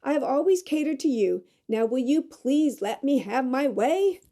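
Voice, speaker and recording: A headstrong, self-reliant woman, played by an actress in an audio drama. Her voice is high and swings widely, with a creaky edge. She speaks emphatically. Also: animated, normal speed, warm tone. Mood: insistent. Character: stubborn, self-reliant woman alone in an old house.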